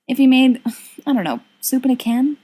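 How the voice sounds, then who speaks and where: A woman's voice: high voice, expressive, normal speed, warm tone, voice pushed up. A woman reading a storybook part as a weary, wry young woman, coaxing and pleading.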